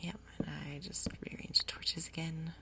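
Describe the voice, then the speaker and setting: Softly whispering woman, ASMR gaming. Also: low voice, little pitch movement, measured pace. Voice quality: soft, breathy, whispered. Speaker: gaming video host. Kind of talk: ASMR game commentary, soft-spoken whispering.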